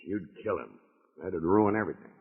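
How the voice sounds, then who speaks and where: An American man playing a cold, calculating outlaw gang boss in a radio western, with a husky, hard, commanding voice. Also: sits low, a little fast. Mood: cold, scheming. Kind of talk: 1950s radio serial acting. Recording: dull, reverberant old radio recording.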